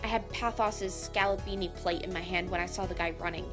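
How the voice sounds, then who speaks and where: A woman playing a brash, hot-tempered chef in an audio drama, gruff and sarcastic, cursing mildly in food words. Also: lowish voice, fairly even intonation, brisk, close to an everyday voice. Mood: exasperated. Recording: music behind the voice.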